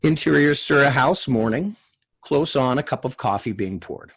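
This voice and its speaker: A man with a husky voice reading out screenplay stage directions as scripted narration. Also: medium pitch, expressive, moderate pace, a bit bright.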